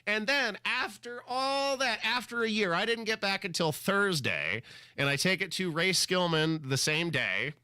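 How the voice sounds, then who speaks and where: Male talk radio host, slightly high, punchy delivery, a little scratchy from a cold, sarcastic storyteller. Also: expressive, measured pace, pushed and bright, smooth, dry recording. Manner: exasperated, sarcastic, dramatic asides. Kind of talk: casual on-air storytelling. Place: radio studio.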